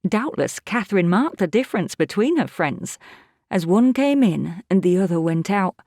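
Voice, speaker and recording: An actress playing an observant, plain-spoken housekeeper who tells a story in measured audiobook narration. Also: female voice, mid-range voice, lively intonation, a little mellow, no room sound, clean studio sound.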